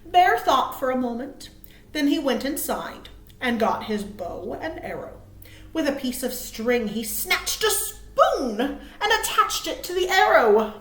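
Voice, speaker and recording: A woman reading aloud to small children as a storytime host, cheerful and expressive. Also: high-pitched, slow pace, slight background noise. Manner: cheerful, expressive, asks listeners questions. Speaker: children's librarian hosting storytime. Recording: roomy, echoey sound.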